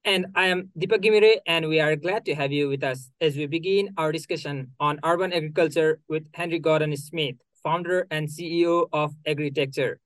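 A man co-hosting a student podcast, asking questions in a hesitant, halting way with many fillers. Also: a little high, fairly bright tone, dry recording, low-quality recording. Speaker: co-host, student. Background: faint music under the intro.

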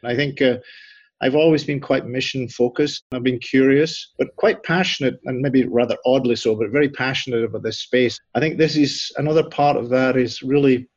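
A middle-aged man with a Scottish accent gives considered answers in an interview, often restarting his phrases as he thinks. His voice sits at an ordinary pitch. The sound is close and dry, with a slightly dull, muffled quality. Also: moderate pace, no echo. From Scotland. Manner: thoughtful, frequent restarts and repeated words. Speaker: institute director, guest.

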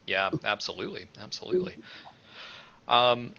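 A man's voice: medium pitch, sing-song, normal speed, creaky, bright, forceful tone, highs cut off. A man hosting an education podcast, talking casually with many "like" and "you know" fillers. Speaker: podcast host. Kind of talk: podcast interview conversation.

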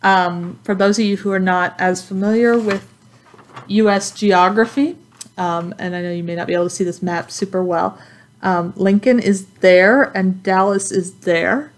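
A woman's voice: medium pitch, steady tone, moderate pace. A woman from Texas with an American accent, speaking as an instructor in a friendly, conversational introduction to students. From Texas, United States. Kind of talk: informal course introduction to students.

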